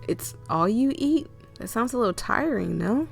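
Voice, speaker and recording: A woman's voice: fairly high pitch, expressive, normal speed, creaky, soft background music, pitched above the performer's own voice. Woman voicing a chatty, curious young woman. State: hoarse, losing her voice.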